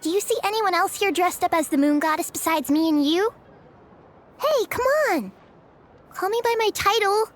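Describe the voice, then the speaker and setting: A woman voicing a small, cheeky, excitable childlike fairy companion in a video game, with a squeaky voice. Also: moderate pace. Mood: boisterous, challenging. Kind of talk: video game character acting. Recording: music behind the voice.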